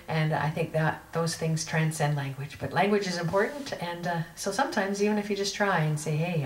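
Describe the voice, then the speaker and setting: A woman's voice: deep voice, a little hiss or hum. A woman singer-songwriter chatting easygoingly between songs at a live outdoor show, reflecting on her music. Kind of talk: between-song stage banter. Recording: echoey live PA sound from far off with loud band music.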